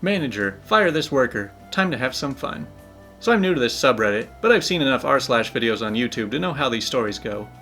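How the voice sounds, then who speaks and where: A young man, a channel host, reading online stories aloud in a playful, animated way with his own commentary. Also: medium pitch, a little fast, soft background music. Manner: animated, playful, laughs while telling. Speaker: channel host, story reader.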